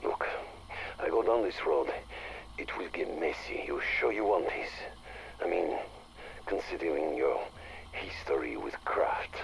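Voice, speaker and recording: An actor playing a menacing, sardonic crime boss in a game audio log, gravelly and taunting, often prodding with a "huh". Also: male voice, a bass voice, expressive, unhurried, pauses often, exaggerated voice, poor audio. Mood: sardonic, threatening. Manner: taunting, tags questions with "huh".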